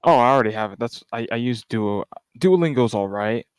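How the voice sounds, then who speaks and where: Young guy from the us chatting over voice call, quiet and unsure, lots of um and like. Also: mid-range voice, lively intonation, a little fast, smooth, no room sound, studio quality. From United States. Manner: soft-spoken, hesitant, filler-heavy. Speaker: group chat member. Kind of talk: casual voice chat.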